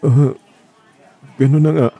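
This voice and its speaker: A man's voice: fairly high pitch, steady tone, slow pace, dark tone. A man playing a resigned, apologetic lover who is ailing and pushing his partner away in a radio drama. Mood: reluctant, evasive. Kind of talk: Tagalog radio drama acting.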